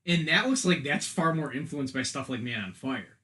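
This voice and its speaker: A man's voice: on the high side, animated, moderate pace, sharp tone. A man in a casual podcast discussion, talking analytically in a rambling way, often saying "like". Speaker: podcast panelist. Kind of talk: casual film and game discussion.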